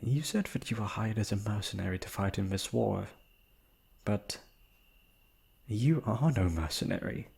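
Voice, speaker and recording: Man acting out a low, hesitant young noble prince. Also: lively intonation, measured pace, gravelly, long pauses, slightly rough, full character voice. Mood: wry, probing. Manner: hesitant, sighing, soft-spoken formal speech. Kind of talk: romantic fantasy ASMR roleplay acting.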